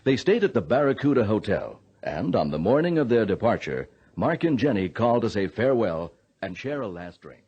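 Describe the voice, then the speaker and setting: An actor playing a steady, grave air traffic controller who narrates a mystery in a radio drama. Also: male voice, on the low side, fairly quick, few pauses, slightly rough, dull audio. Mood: grave narration. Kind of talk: dramatic first-person radio narration and dialogue.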